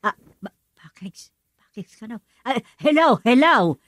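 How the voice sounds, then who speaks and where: A woman's voice: a little high, lively intonation, measured pace, no room sound. Woman acting flustered, scolding village mother, close and dry. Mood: flustered, loud. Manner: exclamatory, scolding. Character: flustered village mother, suspicious and easily worked up. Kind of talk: rural comedy radio drama acting.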